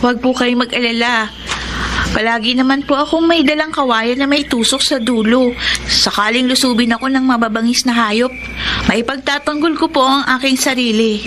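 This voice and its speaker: A woman's voice: fairly high pitch, moderate pace, background noise, natural voice. A woman playing an earnest, polite, hopeful poor young girl from the mountains in a radio drama. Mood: polite, determined.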